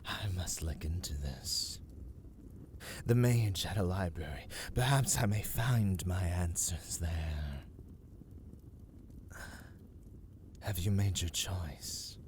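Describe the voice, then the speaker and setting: A man playing a brooding, self-loathing vampire in a dramatic ASMR roleplay, speaking in a formal, archaic, hushed and breathy manner. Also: sits low, takes it slowly, background noise. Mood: awed, intrigued. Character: brooding, self-loathing male vampire, menacing yet conflicted.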